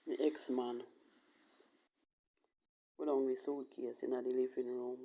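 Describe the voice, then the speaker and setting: A woman with a Jamaican accent telling a personal story casually in patois, in a halting, emphatic way that repeats phrases. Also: extremely low pitch, little pitch movement, dark tone, highs cut off. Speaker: vlogger telling own life story.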